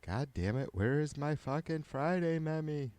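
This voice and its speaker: A man with a raspy, nasal voice, rambling profanely and jokingly on his livestream. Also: fairly high pitch, expressive, moderate pace, a little mellow, no echo. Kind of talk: casual rambling livestream chat.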